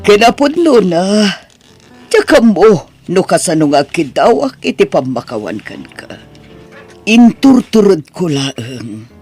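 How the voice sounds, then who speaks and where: Woman doing sorry ilocano auntie in audio drama, slow pleading delivery, music behind. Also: lowish voice, big pitch swings, a little mellow, husky, exaggerated voice. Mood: remorseful, pleading. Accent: Ilocano Filipino. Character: remorseful older aunt who once belittled her niece.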